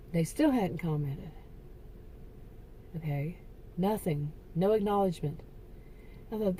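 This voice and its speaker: A middle-aged woman talking in a rambling, halting personal vlog, correcting herself often, her voice getting worn. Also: medium pitch, dark tone, a little hiss or hum, poor audio. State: losing her voice. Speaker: vlogger. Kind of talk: casual personal vlog monologue.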